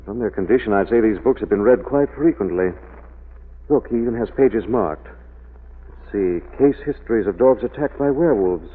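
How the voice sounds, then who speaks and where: An actor playing a suave, probing amateur detective in polished 1940s radio drama style, on an old recording. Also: male voice, lowish voice, animated, normal speed, husky, narrow, tinny audio. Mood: curious, hushed. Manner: polished, assured, questioning.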